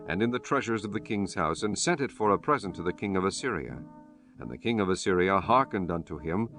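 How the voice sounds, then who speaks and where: A man narrating a dramatized Bible audiobook in a solemn, measured, formal way. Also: sits low. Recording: soft music bed under the voice.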